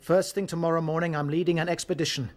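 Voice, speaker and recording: A young British rabbit chief, acted by a man, kindly yet decisive, with a slightly high voice. His radio drama delivery is measured and encouraging. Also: steady tone, lightly acted. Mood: resolute. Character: kindly, resolute young male rabbit leader.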